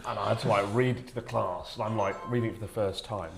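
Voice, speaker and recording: A man's voice: on the low side, fast, faint music behind. Sardonic man telling stories, echoey room. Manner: animated, sardonic, acts out quotes. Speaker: storyteller, former English teacher. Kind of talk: casual comic anecdote told to friends. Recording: roomy echo with clear background noise.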